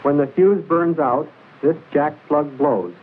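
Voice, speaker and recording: A man narrates an old military training film in a formal, measured, precise instructional style, reading from a script. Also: on the high side, phone-quality sound. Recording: hissy band-limited 1940s film soundtrack.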